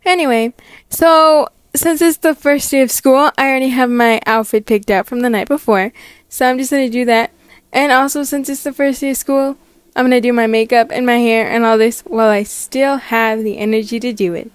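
A woman's voice: fairly high pitch, fairly even intonation, moderate pace, clean tone, dry recording. A young woman chatting casually in a voiced roleplay vlog, bubbly and slangy with dramatic exclamations. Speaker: story channel creator voicing a character.

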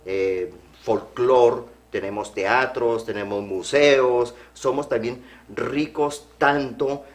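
A man's voice: medium pitch, fairly even intonation, takes it slowly. A middle-aged man from Colombia, a guest in a TV studio interview, talking earnestly with restarts on a lapel mic. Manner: earnest, gestures, restarts phrases. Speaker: program guest. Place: TV studio set.

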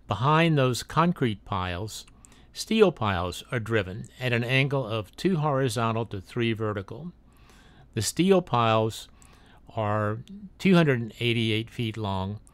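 A man's voice: mid-range voice, no room sound. A man narrating a drone video as a read-aloud factual voice-over, with occasional slips and restarts. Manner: reads a factual text, with small slips and restarts.

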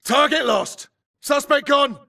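Video game soldier, very high strained pitch, forceful, clipped urgent combat barks, aggressive. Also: male voice, slow, vocal fry, far from the mic, heavily acted. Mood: alert, searching. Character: tough male infantry grunt soldier, urgent and aggressive. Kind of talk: military combat callouts, short shouted barks.

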